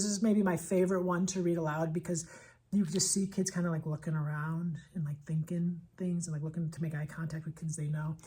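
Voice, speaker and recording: A woman with a husky voice talking as an elementary school teacher in a casual vlog, enthusiastic and conversational, leaning on repetition for emphasis. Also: fairly low pitch, darkish tone. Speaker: elementary school teacher presenting picture books. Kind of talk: casual vlog book recommendations.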